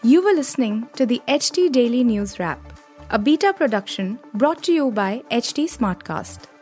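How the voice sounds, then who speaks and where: A woman's voice: a little high, lively intonation, normal speed, darkish tone, soft background music. A woman presents a news podcast with scripted intro and sign-off lines.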